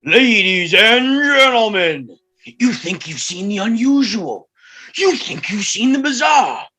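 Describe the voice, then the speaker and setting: A man's voice: high-pitched, big pitch swings, takes it slowly, bright, forceful tone, full character voice. A man playing a bombastic, grandiose middle-aged circus ringmaster, delivering theatrical barker patter in a script read. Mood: showy, grand. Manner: grandiose, showy. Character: bombastic fifty-year-old circus ringmaster, small but titanic.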